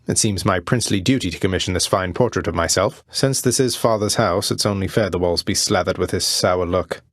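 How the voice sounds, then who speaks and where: A man's voice: sits low, dry recording, clean studio sound. A man voicing a sardonic young underworld prince in a video game, with a wry, courtly, understated delivery. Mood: wry, pompous. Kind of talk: scripted video game character lines.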